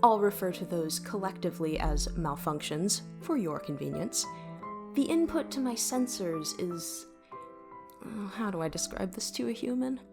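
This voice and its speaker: A woman's voice: mid-range voice, expressive, moderate pace, over music, close to an everyday voice. A woman playing a vain, witty and easily flustered starship computer in an audio drama, talking in a wordy, technical way. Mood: puzzled, explaining.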